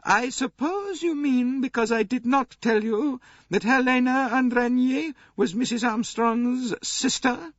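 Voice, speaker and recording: A man voicing an indomitable old Russian princess, haughty, cold and contemptuous, speaking with slow deliberation in an audiobook. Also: extremely high pitch, lively intonation, a bit bright, no echo, dull audio, voice pushed up, exaggerated voice. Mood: contemptuous, defiant.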